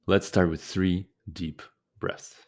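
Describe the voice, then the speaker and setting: A man leading a guided meditation as a teacher, speaking calmly and deliberately with frequent pauses. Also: low-pitched.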